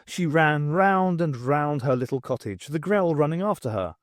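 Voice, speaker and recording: A man reading a story aloud as an audiobook narrator, in an expressive scripted style. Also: on the high side, moderate pace, no room sound, studio quality.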